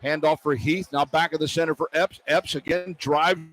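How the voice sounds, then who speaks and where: A man doing live radio basketball play-by-play, describing the action in short, clipped phrases that build toward excited calls. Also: a little high, moderate pace. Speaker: play-by-play announcer. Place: broadcast position at an indoor arena.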